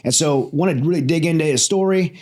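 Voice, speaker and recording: A man hosting an interview show from his home studio, talking in an energetic, chatty way. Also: on the high side, fast. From Louisiana. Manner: energetic, friendly, many fillers and restarts. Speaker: podcast host.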